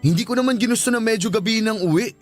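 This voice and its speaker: A man's voice: high-pitched, very fast, soft background music, close to an everyday voice. A man with a Filipino accent playing a tired, defensive husband and father in a radio drama. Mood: defensive, irritated.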